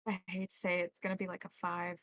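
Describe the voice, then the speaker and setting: A woman's voice: fairly low pitch, completely flat delivery, fast, a bit bright, no echo, studio quality. A woman running a tabletop roleplaying game as game master, narrating scenes and calling for rolls.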